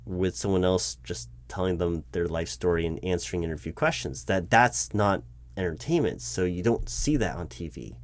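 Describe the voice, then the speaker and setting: Man role-playing corporate hiring manager, male, somewhat low pitch, exasperated, halting, self-correcting, spoken-aloud interview roleplay. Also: lively intonation. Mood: incredulous, explaining. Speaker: roleplay performer. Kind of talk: improvised one-sided job interview roleplay, spoken aloud.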